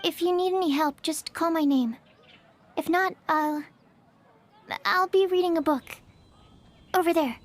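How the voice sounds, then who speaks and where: A woman's voice: extremely high pitch, measured pace, long pauses, a little hiss or hum. A woman voicing a shy, nervous young female alchemy assistant in a video game, timid and apologetic. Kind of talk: video game cutscene dialogue. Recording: game cutscene with music behind. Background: game music.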